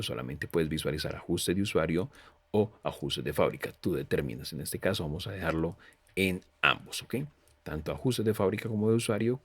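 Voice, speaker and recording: A man with a very low voice full of gravelly fry walks the viewer through software in an informal, explanatory way. The recording is close and dry, with him addressing the listener directly as a tutorial presenter. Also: dry recording.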